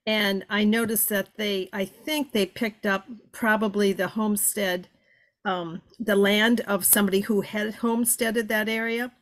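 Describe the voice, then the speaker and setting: An older woman in an online class talks in a rambling, grateful way over a video call. Her voice sits at a middle pitch and she loops back through her sentences. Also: steady tone, a little slow. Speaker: class participant. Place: home room with bookshelves.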